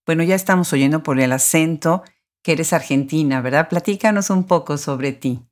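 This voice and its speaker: A woman's voice: sits low, normal speed. A woman interviewer who gives effusive, encouraging praise and asks her guest questions. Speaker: interviewer, host.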